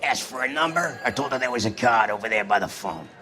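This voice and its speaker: A man's voice: mid-range voice, animated, fast talker, pushed and bright. An American man playing a chatty, slangy bookmaker and shopkeeper in a video game. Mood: rueful, obliging. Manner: slangy, colloquial. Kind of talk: video game dramatic acting.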